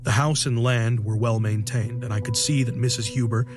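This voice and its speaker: A man's voice: medium pitch, fairly even intonation, moderate pace, natural voice. An actor playing a breezy, self-satisfied male realtor, telling his story conversationally in a first-person horror audio drama. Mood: matter-of-fact, reflective. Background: music.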